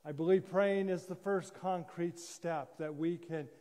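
A man in his sixties preaching a sermon as a pastor, solemn and deliberate, with pauses and repetition. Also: a little high, steady tone, dark tone. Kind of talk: sermon, measured oratory. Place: church sanctuary, indoors at a lectern. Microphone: gooseneck lectern microphone. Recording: reverberant church hall sound.

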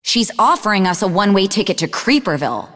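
An actress playing a sarcastic, sharp-tongued young woman scientist in a video game, with a mocking, snappy manner. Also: medium pitch, very animated, fast talker. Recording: long reverberant hall echo.

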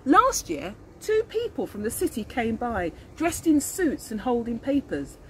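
Older woman, very lively high-pitched storyteller. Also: measured pace. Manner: expressive, explains and asks questions of young listeners. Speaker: early years teacher reading to children. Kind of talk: picture-book storytelling with asides to children. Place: outdoors in a leafy garden. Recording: outdoor garden recording with birdsong and noise.

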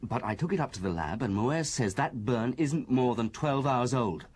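A young male detective in a radio drama. He is dutiful and matter-of-fact, with a mid-pitched, businesslike delivery. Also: lively intonation, moderate pace, a little mellow, husky.

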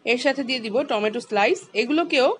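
A woman, calmly walking through a home cooking recipe step by step as the channel's host. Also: fairly high pitch, fast, a little hiss or hum. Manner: calm, instructive, explains each step.

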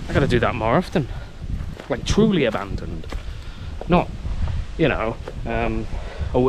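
Chatty man vlogging outdoors with noisy background. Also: on the low side. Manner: chatty, exclamatory, nervously excited. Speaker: travel vlogger. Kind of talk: casual walking commentary. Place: outdoors at an abandoned coastal military base in the heat. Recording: outdoor noise with handling clicks.

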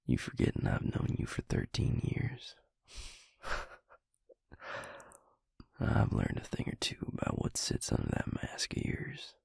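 A man's voice: measured pace, pauses often, a little mellow, no room sound, natural voice. A man playing an easygoing, teasing vampire with a Southern US accent and a dry drawl in an audio roleplay. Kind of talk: intimate audio roleplay acting.

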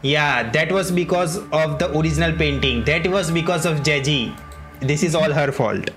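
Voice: mid-range voice, slow pace. A streamer giving casual gameplay commentary, often interjecting and talking back to the characters. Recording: game music behind. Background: game music and dialogue.

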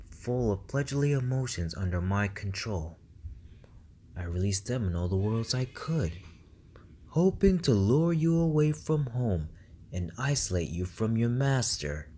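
A man voicing a sinister, taunting young villain in an amateur video game voice demo, with a husky tone. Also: fairly low pitch, warm tone, a little hiss or hum. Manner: slow, taunting, menacing delivery. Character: sinister, mocking young male dark villain.

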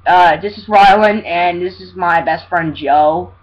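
A young man hosting an amateur prank video in casual chat, halting and bossy with his co-host. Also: high-pitched, lo-fi sound. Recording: noisy amateur home recording.

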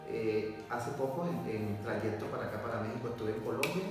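A Venezuelan man in his forties, a pastor and former doctor, giving a testimony interview in a measured, hesitant way with many fillers. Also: mid-range voice. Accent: Venezuelan Spanish. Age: 40s. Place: indoor room, seated on sofa. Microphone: far camera. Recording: echoey room from a distance, music behind.

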